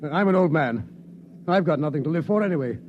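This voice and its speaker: Excitable, animated old gentleman, played by a man. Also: on the high side, fast, lightly acted. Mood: pleading. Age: older. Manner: old-fashioned, animated, urgent. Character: self-sacrificing, excitable old gentleman among space captives. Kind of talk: 1950s radio drama acting. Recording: muffled, faintly noisy 1950s radio recording.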